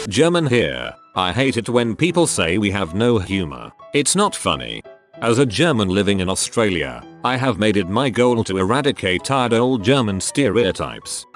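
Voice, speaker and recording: A man reads forum posts aloud in a scripted, deadpan way, his fairly low voice rising and falling with lively intonation. Quiet background music plays beneath his narration.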